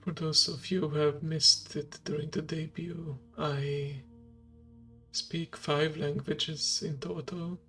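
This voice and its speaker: Male VTuber, native Hungarian speaker, slightly higher pitched, slow hushed ASMR delivery. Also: level tone. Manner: slow, hushed and gravelly, drifting into whisper. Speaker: VTuber streamer. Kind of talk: soft-spoken ASMR stream. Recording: faint background music.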